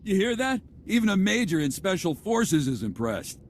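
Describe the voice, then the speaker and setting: Casting: cocky rebel fighter, rowdy, highish voice, very animated, game cutscene with noise behind. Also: male voice, moderate pace. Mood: jubilant. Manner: brash, slangy. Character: cocky male resistance fighter, rowdy and triumphant. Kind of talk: video game cutscene acting.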